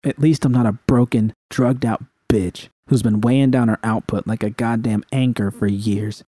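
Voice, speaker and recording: A man's voice: lowish voice, steady tone, moderate pace, dark tone, no echo, lightly acted. A man voicing a hot-tempered woman technician in dramatic audio fiction, speaking with cutting, emotional delivery. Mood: bitter, cutting. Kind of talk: dramatic first-person audio fiction dialogue.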